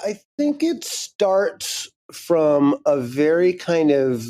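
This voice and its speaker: A man's voice: a little high, sing-song, very slow, dark tone. A man, the creator and composer of a show, explaining it candidly as an interview guest.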